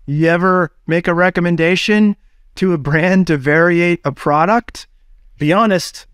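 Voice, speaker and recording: A man hosting a business podcast, talking in an energetic, chatty way with quick shifts and casual fillers. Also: high voice, smooth, no room sound, clean studio sound. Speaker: podcast host.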